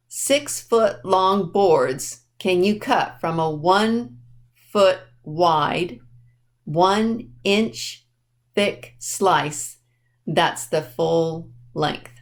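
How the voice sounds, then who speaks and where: Woman, storytime presenter, unhurried slow delivery, simple teacherly explanations, frequent check-in questions, repeats key points. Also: medium pitch. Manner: instructional, checks understanding with questions; deliberate, spells things out and repeats. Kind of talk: simple educational talk to children with questions.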